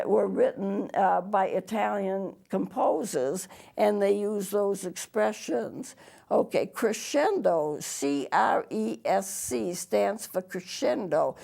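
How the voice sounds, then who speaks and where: A woman music teacher with a throaty, worn voice, gravelly with heavy fry. She talks at an unhurried, slow pace, patiently spelling out terms letter by letter in a small TV studio. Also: mid-range voice, a little mellow. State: losing her voice, throaty. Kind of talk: televised music lesson.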